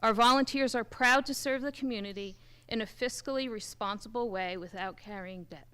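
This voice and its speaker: A woman reading a prepared public statement in a formal, measured way with deliberate emphasis, as a representative at a public meeting. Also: a little high, pushed and bright, smooth. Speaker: first aid squad representative. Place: public township committee meeting.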